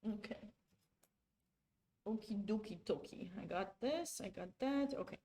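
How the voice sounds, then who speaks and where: A woman's voice: mid-range voice, expressive, a little slow, mellow. A woman, commentating a chess game live and chatting with viewers, often restarting her sentences. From Romania. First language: Romanian. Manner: chatty, self-correcting, talks to chat.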